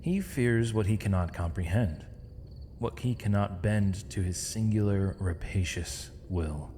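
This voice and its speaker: A man playing a gentle, weary, eloquent imprisoned mage in an ASMR roleplay, speaking in measured, formal, poetic phrases with thoughtful pauses. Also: lowish voice, animated, slight background noise. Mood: earnest, hopeful. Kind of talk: ASMR roleplay, intimate dramatic monologue. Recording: long reverb, faint night insect ambience.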